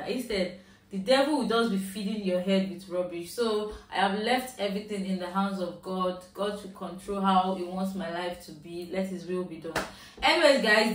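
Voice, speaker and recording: A woman's voice: mid-range voice, a bit bright. Nigerian woman, Yoruba accent, casual haul-vlog chat, recorded in an echoey room from a distance. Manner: chatty, mixing in Nigerian Pidgin phrases. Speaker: shopping haul vlogger. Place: at home, indoors. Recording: roomy, mic at a distance.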